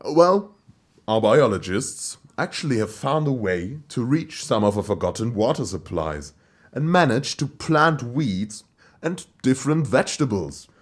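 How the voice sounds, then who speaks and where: A man's voice: medium pitch, sing-song, unhurried. A man acting in an audio play as a distracted, breezy boss who speaks hesitantly and is easily sidetracked. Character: distracted, breezy male boss at a moon colony office.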